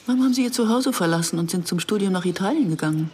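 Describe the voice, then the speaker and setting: A woman's voice: mid-range voice, lively intonation, rapid-fire, mellow, a little hiss or hum, lightly acted. An actress playing a guarded, reflective woman in her early fifties who narrates and converses soberly in a German radio drama. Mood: guarded, brooding. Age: middle-aged.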